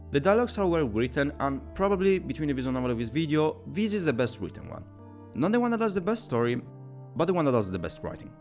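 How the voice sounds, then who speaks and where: Fast, lively man narrating a scripted review. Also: mid-range voice. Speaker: video game reviewer.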